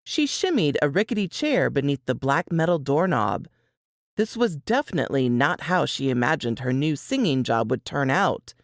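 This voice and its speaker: A woman reading scripted fiction as an audiobook narrator, in an expressive storytelling style. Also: deep voice, moderate pace, no echo, studio quality.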